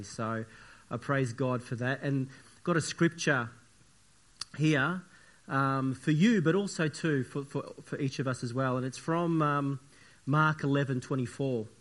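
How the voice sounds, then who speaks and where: Croaky-voiced preacher man, earnest and halting. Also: medium pitch, fairly bright tone. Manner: earnest, repetitive, halting with many fillers and restarts. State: croaky, sniffly voice from the flu. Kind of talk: church sermon with opening prayer.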